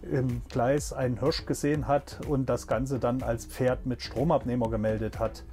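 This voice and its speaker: A man's voice: mid-range voice, fairly even intonation, normal speed, darkish tone, soft background music. A middle-aged man, a train driver, speaking plainly in an interview from a locomotive cab, with a few hesitations.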